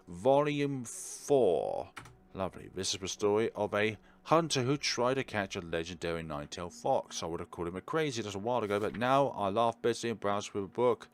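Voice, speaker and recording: A man's voice: lowish voice, smooth. A man hosting a let's play, reading game text aloud dramatically and adding casual asides. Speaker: gaming video host.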